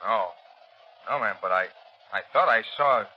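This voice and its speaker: An actor playing a plain-spoken, puzzled army sentry in 1930s radio drama style. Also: male voice, lowish voice, a little slow. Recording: muffled 1930s radio recording.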